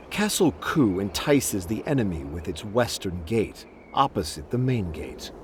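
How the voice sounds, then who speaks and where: Slow, swooping, shrewd war strategist. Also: male voice, lowish voice, noisy background. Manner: deliberate, formal, theatrical. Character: shrewd, theatrical military strategist, loyal retainer to a prince. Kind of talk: video game voice acting. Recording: music behind the voice. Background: game music.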